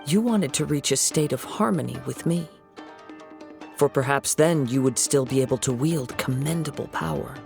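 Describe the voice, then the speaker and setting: A man plays a young wandering swordsman in a fantasy game, soft and light with a creaky edge. He is composed and principled, wording things formally and poetically, over faint music. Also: mid-range voice, moderate pace, close to an everyday voice. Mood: grave, composed. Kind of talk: video game voice acting.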